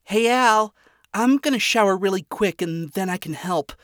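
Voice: medium pitch, normal speed, fairly bright tone, no room sound, studio quality, voice pushed up, natural voice. A reader voicing an earnest, upbeat young demon princess who speaks in an eager, sincere way. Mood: anxious.

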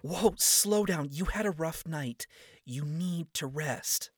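Stubborn kind princess, voiced by a man, lifted soft pitch, dry sound. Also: slow, husky, no room sound. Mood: concerned. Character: earnest young demon princess, kind and stubborn.

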